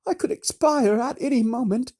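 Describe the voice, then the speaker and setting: A narrator voicing a shrill, demanding, self-important noblewoman who screeches her complaints. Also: normal speed, warm tone, husky. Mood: shrill imperious. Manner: screeching, imperious.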